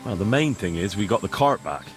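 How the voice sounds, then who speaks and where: A man's voice: fairly low pitch, animated, fairly quick, faint music behind, lightly acted. An actor playing an earnest, level-headed young man, the hero of a medieval video game. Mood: calm, dutiful. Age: young adult. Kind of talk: video game drama acting.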